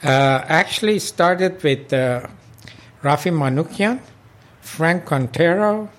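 Older Iranian-born man, halting speech. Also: fairly high pitch. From Iran. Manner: halting, many fillers and restarts. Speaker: interviewee, local club president. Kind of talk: oral history interview.

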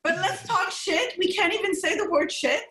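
A woman's voice: extremely high pitch, moderate pace, bright, forceful tone, roomy sound. A middle-aged woman gastroenterologist who grew up in Montreal, talking candidly and with laughs in a podcast interview. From Montreal, Canada. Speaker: gastroenterologist guest.

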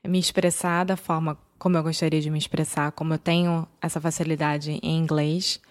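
Female podcast co-host, Brazilian accent, slightly low register, relaxed conversational delivery. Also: brisk. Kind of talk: casual chat.

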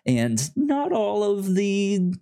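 A man co-hosting a podcast in casual chat, recapping a story in a halting way, often repeating words and syllables. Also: high-pitched, huge pitch swings, creaky, dark tone. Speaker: podcast co-host.

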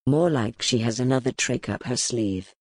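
A woman voicing a suspicious, gruff nobleman who speaks bluntly and scornfully. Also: rumbling low, normal speed, a little mellow, dry recording, voice pushed down.

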